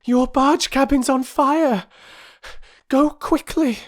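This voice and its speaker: A man's voice: extremely high pitch, fairly even intonation, slow pace, pitched above the performer's own voice. A man voicing a brave young girl who is out of breath from running. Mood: urgent.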